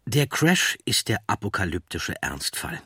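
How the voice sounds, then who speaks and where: A man reading scripted documentary narration in a measured, serious and factual manner. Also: lowish voice, sing-song, sharp tone, slightly rough, no room sound, clean studio sound.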